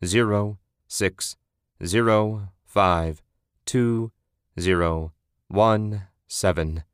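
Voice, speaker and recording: Man putting on a chilling, very slow monotone voice. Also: fairly low pitch, smooth, no echo, clean studio sound. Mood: cold, drawling. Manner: droning, drawling monotone, digit by digit. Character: deep, chilling, monotone voice droning numbers over radio.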